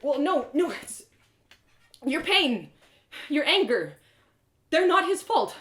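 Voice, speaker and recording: A woman plays a young fantasy heroine, plucky but easily flustered. Her high, bright voice is earnest and full of exclamations and stammers, with some room echo. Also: sing-song, takes it slowly, exaggerated voice. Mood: nervous, flustered. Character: young female adventurer, plucky, flustered, kind-hearted. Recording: roomy echoing sound.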